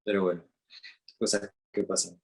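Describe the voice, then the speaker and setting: A man with an Argentine Spanish accent giving an unscripted talk as a meditation teacher, speaking slowly with frequent fillers and restarts. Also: deep voice, little pitch movement, vocal fry, husky.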